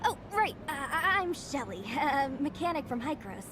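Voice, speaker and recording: A woman playing an eager, confident young female mechanic in an anime game, with a bubbly, excitable, slightly flustered manner. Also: high-pitched, normal speed, noisy background. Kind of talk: video game character acting. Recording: music behind the voice.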